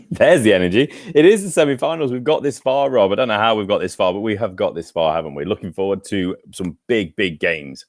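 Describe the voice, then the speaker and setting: A man's voice: on the low side, expressive, fairly quick, darkish tone, no room sound, clean studio sound. A man co-hosting a cricket podcast, chatty and teasing as he reels off match stats. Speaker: podcast co-host. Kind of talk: casual cricket chat and match recap.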